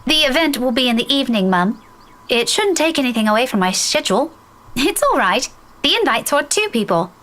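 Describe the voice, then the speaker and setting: A young game daughter, chirpy, high and bright, easygoing. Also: female voice, sing-song, brisk. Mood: reassuring. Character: grown daughter, polite and reassuring. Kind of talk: visual novel voice acting.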